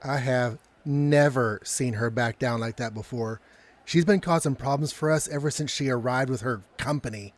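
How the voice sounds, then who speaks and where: A man's voice: mid-range voice, moderate pace, natural voice. A man playing a meek, good-natured young coffeehouse worker in an audio drama, mild and hesitant in manner. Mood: friendly, shy.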